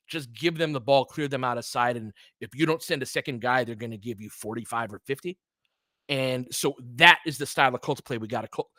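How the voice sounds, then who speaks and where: A man with a bright, forceful voice at a middle pitch hosts a fan play-by-play stream. He talks casually and with firm opinions, addressing listeners directly and slipping into clipped game calls. The sound is dry, with very little room in it. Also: no echo. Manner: conversational, opinionated, answers chat commenters by name.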